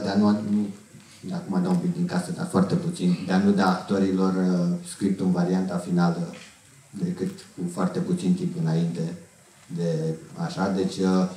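A middle-aged man gives an informal, halting answer on a stage panel, often repeating words. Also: low voice, dark tone. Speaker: film crew member on panel. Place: auditorium stage, indoors. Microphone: handheld microphone held low. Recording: very echoey hall, distant.

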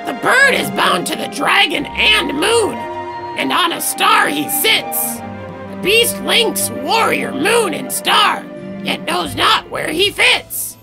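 A man voicing a riddle-giving bird in a video game, reciting a cryptic clue in measured singsong verse. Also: very high voice, animated, creaky, over music, pitched above the performer's own voice, heavily acted, rough recording. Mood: cryptic, solemn.

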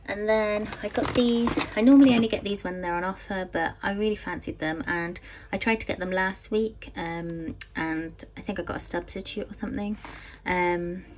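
A woman's voice: medium pitch, fairly even intonation, moderate pace, a little hiss or hum. A British woman in her thirties chatting casually at home about her weekly grocery shopping, with frequent ums and "so yeah". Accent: British English. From United Kingdom. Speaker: vlogger. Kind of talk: casual grocery haul chat. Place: home kitchen, indoors.

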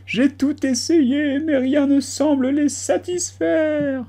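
A man voicing a shrill, paranoid pigeon guarding its eggs, lamenting and scornful by turns. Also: fairly even intonation, slow, dark tone, full character voice. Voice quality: shrill, strained cries. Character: shrill, paranoid, wailing female-nest pigeon.

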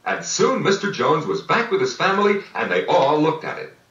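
Highly animated male storyteller on vintage record. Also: moderate pace. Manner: animated, theatrical. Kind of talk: dramatic scripted children's storytelling. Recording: dull vintage record, roomy and distant, music behind.

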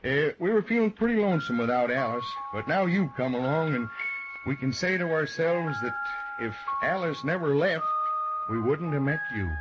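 An actor playing a kindly, folksy American small-town man in a gently philosophical, hesitant 1950s radio drama style. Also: on the high side, animated, moderate pace, close to an everyday voice. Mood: gentle, reflective. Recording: dull old radio recording, music behind.

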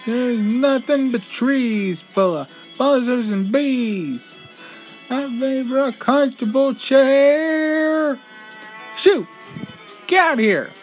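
A woman's voice: sits high, slow, pauses often, faint music behind, pitched above the performer's own voice, rough recording. A woman voicing a grumpy, easily flustered male country bear who complains about the outdoors in an amateur cartoon-style stage show. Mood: panicked. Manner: complaining, shooing, exclaiming.

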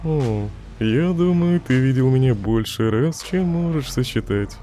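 A man plays a laid-back skeleton joker in a comic dub, with a mellow, mid-pitched voice. He sounds unhurried, wry and teasing over soft guitar music. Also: animated, close to an everyday voice. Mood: amused, teasing. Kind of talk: fan comic dub acting.

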